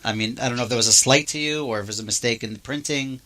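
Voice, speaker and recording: A man's voice: mid-range voice, very fast, sharp tone. A man hosting a podcast interview, chatty and friendly, asking short questions and laughing easily. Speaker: podcast host. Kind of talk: casual interview.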